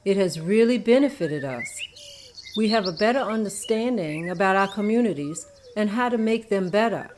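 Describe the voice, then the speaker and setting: Woman doing a respectful neighbor lady, lively voice, bit of hiss. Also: mid-range voice, moderate pace, natural voice. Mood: admiring. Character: kind neighbor woman, admiring.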